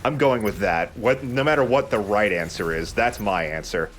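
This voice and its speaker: A man's voice: lowish voice, normal speed, a bit bright. A man giving casual, joking, sweary commentary as he plays a video game with friends. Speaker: let's play host playing the game. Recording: faint background noise.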